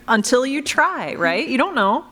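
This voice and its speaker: A woman's voice: sits high, very animated, takes it slowly, a crowd in the background. A woman moderating a live panel in a casual, conversational style. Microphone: wired panel microphone.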